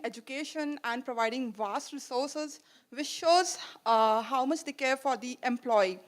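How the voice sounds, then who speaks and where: A woman with a high voice delivers a rehearsed career pitch. She sounds earnest and recited, occasionally stumbling over a word and repeating it. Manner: earnest, recited, some slips. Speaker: pitch contestant.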